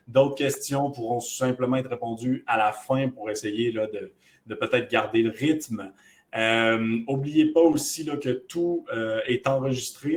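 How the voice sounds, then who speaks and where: A man's voice: medium pitch, darkish tone. A man moderating a webinar, introducing the speaker and going over housekeeping in a casual way, with many fillers, over a video call.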